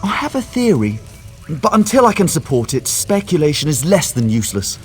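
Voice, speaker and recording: Anxious, lively scholar mage. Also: male voice, a little high, fairly quick, soft background music. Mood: thoughtful, explanatory. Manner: formal, precise, articulate. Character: scholarly male mage and former priest, cautious, anxious. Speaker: quest-giving character. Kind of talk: video game dialogue.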